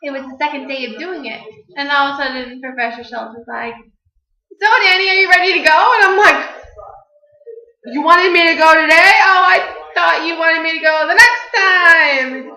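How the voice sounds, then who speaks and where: Young woman host, high bright voice, chatty, roomy muffled webcam sound. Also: moderate pace. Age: young adult. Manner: chatty and giggly, breaks into singing. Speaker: vlog host. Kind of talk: casual chat. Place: small apartment room at night, indoors. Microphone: laptop webcam at desk distance.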